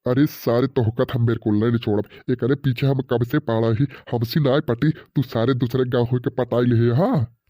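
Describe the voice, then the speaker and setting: A man's voice: mid-range voice, steady tone, moderate pace, mellow, no room sound, clean studio sound, lightly acted. A man with an eastern Hindi belt accent voicing an angry, scolding villager in a comic cartoon, blustering threats. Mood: scornful, scheming. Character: angry male villager threatening to beat a trespassing lover.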